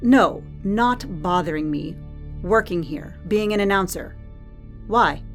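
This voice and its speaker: A woman's voice: fairly low pitch, lively intonation, takes it slowly. A woman playing a guarded, weary arena worker in an audio drama, blunt and dry as she presses for answers. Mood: probing. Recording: music under the voice.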